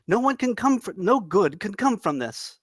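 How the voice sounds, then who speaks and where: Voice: mid-range voice, very animated, normal speed, breathy edge, no room sound, clean studio sound. A performer at a table read playing an earnest, timid servant who speaks properly and deferentially. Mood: worried, polite. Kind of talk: table read of a comedy fantasy script.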